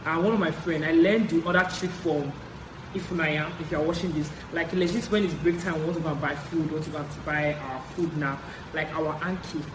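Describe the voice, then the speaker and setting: A woman's voice: sits low, steady tone, a little fast. A young woman with a Nigerian accent tells personal stories in a casual, chatty vlog style, repeating phrases for emphasis. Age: young adult. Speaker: vlogger. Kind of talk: casual confessional storytelling. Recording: echoey room with clear background noise.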